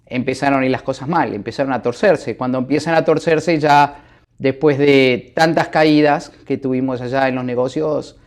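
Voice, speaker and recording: Calm middle-aged Argentine businessman. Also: medium pitch, normal speed. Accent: Argentine Spanish. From Buenos Aires, Argentina. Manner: calm, reflective, hesitant with fillers. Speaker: businessman, interview guest. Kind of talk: telling his life story. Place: office.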